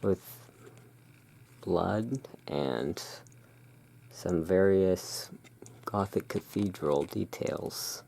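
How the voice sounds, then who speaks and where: A low-voiced ASMR host plays the keeper of a dark gothic emporium. The delivery is very slow and soft, with long pauses between short phrases. The tone is mellow, with a gravelly fry running through it. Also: slight background noise. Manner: unhurried, hushed, pausing between short phrases. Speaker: ASMR roleplay host as curiosity emporium owner. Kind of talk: soft-spoken ASMR tarot card showing.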